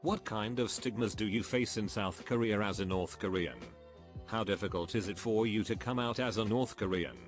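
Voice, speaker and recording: A man giving a scripted narration, reading online questions and answers in an even, measured way. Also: lowish voice, soft background music.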